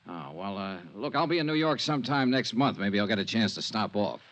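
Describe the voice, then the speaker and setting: Brisk insurance investigator, rapid delivery, lowish pitch, clipped, matter-of-fact, old-time radio. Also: male voice, lively intonation, fairly bright tone. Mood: businesslike, reluctant. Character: brisk, worldly male insurance investigator, coolly sympathetic. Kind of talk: 1950s radio drama dialogue and scripted narration. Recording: vintage 1950s radio recording.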